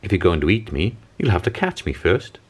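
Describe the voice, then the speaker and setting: A man's voice: deep voice, very animated, fairly quick, husky, full character voice. A man voicing a tiny, fearless, cheeky little girl in a storybook, with a sing-song manner. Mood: bold. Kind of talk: storybook character reading, sing-song rhymes.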